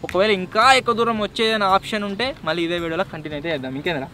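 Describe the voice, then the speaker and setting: A man's voice: high voice, lively intonation, racing, sharp tone, a little hiss or hum. A young man with a Telangana accent presenting a casual vlog in an informal, slangy way. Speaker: video host.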